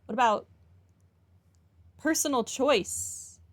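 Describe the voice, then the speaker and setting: A woman's voice: high-pitched, slow pace. A woman giving casual, sarcastic, skeptical commentary as she plays a game. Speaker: let's-play commentator. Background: game music.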